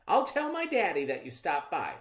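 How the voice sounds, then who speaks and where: A man acts out a polite little farm girl from a storybook. The voice is pitched up and lilts widely. She sounds innocent and eager to oblige. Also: huge pitch swings, moderate pace, reverberant. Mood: obliging, trusting. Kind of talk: children's storybook read-aloud.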